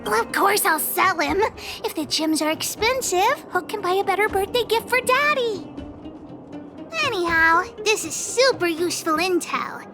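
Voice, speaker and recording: A woman's voice: extremely high pitch, animated, normal speed. A woman playing a bossy, bold little girl gang leader in a video game, self-important and speaking of herself in the third person. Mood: bossy, proud. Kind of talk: video game character acting. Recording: game music behind the voice.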